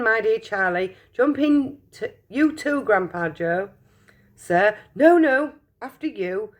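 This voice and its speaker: A woman's voice: mid-range voice, voice pushed up. A woman voicing an exuberant, eccentric male candy maker with theatrical, gushing speech, reading a storybook aloud. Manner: gushing, theatrical, fast bursts of excitement.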